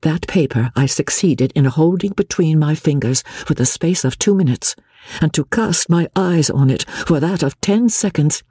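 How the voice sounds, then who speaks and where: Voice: mid-range voice, expressive, moderate pace, warm tone, husky, no echo, clean studio sound, pitched above the performer's own voice. A reader voicing a proud, tired young noblewoman who sounds strained and pleading, raised above the narrating voice. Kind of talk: audiobook dialogue.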